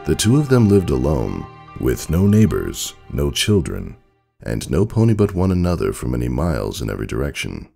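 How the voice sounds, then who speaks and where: Male narrator for a dramatic fable: extremely low, expressive pitch movement, measured and solemn delivery. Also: gravelly.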